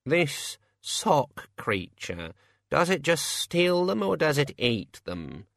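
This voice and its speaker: A man voicing an anxious senior wizard academic with a slow, hesitant, plaintive manner in a storybook. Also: a little high, big pitch swings, vocal fry, a bit bright, no room sound, clean studio sound, pitched above the performer's own voice, full character voice. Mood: puzzled, hesitant.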